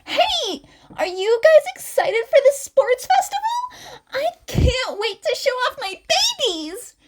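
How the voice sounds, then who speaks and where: A woman voicing a loud, eager teenage girl inventor with a bubbly, exclaiming manner in a story reading. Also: very high voice, very animated, slow pace, voice pushed up, heavily acted. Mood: excited.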